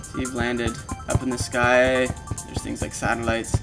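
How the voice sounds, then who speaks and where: A man with a mid-range voice presents a casual video walkthrough. He explains in an informal way, speaking directly to the listener as the player. Loud video game music plays under his voice throughout. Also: medium pitch, completely flat delivery, a little slow, gravelly. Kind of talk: casual walkthrough narration of a software demo. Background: video game music and sound effects.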